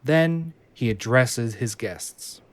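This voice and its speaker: A man's voice: mid-range voice, lively intonation, takes it slowly, no echo. A man reading scripted narration as the narrator of an audio drama.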